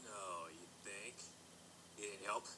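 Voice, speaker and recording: A man's voice: fairly low pitch, fairly even intonation, slow. A man voicing an easygoing, teasing male pony. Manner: teasing, laid-back. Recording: noisy amateur recording.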